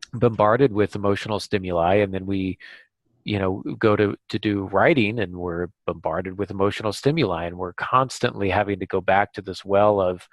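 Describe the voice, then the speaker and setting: A man with a fairly low voice speaks casually as a podcast host. His delivery is halting, as if thinking aloud, and the sound is dry and close. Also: gravelly, no room sound. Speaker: podcast host, author and former editor. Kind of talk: casual discussion.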